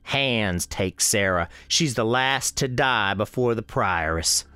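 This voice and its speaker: Slow, folksy Southern storyteller. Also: male voice, fairly low pitch, lively intonation, bright, forceful tone, clean tone, no echo, exaggerated voice. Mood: tense, grave. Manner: measured, ominous storytelling. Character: folksy, grave storekeeper narrating an eerie ghost tale. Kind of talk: scripted dramatic narration, radio drama.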